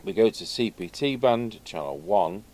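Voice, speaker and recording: Low-voiced man muttering at a workbench. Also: animated, moderate pace, a little mellow. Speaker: radio repair vlogger. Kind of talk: casual hands-on bench commentary. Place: indoors at an electronics workbench. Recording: clear background noise from a workshop. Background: background noise.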